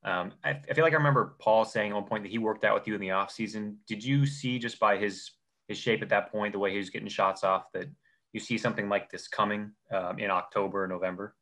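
A man's voice: on the low side, fairly even intonation, quick pace, fairly bright tone. A male reporter asking a long, winding question at a press conference.

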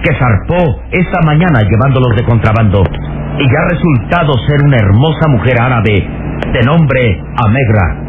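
A man's voice: mid-range voice, animated, moderate pace, background noise. A man delivering scripted dramatic narration for an old radio serial. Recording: lo-fi radio recording with music. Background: background music.

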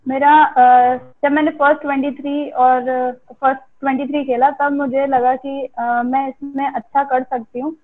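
A young woman speaks in a high, fairly even voice. She answers interview questions haltingly, pausing often as she thinks. The sound is narrow and muffled, like an online call. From India. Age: early 20s. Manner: hesitant, halting with frequent pauses. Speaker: interviewed cricketer. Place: remote call on social media live. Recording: muffled, band-limited video call audio.

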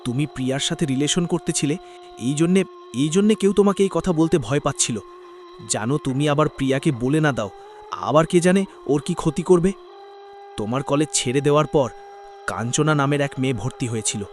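A man playing an earnest young man who warns and explains gravely in a cartoon drama. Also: fairly high pitch, fast, a little mellow, a little hiss or hum, lightly acted. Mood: grave explaining. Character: earnest, urgent young man warning his friend.

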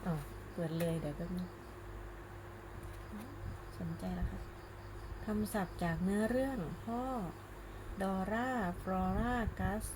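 A woman, a mother doing picture-book reading and gentle baby talk, coaxing with simple repeated questions. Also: mid-range voice, expressive, measured pace, pauses often. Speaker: mother reading a bedtime story to her baby. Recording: noisy home recording.

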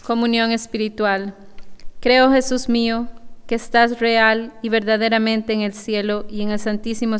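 A woman's voice: mid-range voice, clean tone. A woman reciting prayers evenly and devoutly, as a lay reader leading responses. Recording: reverberant church room.

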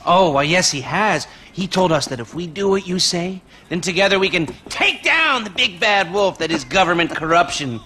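An actor playing a sardonic, nerdy middle-aged criminal planner in a video game, dry and wordy. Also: male voice, sits high, animated, normal speed, hardly any pauses, pushed and bright, a little hiss or hum. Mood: mocking. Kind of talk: cinematic video game acting.

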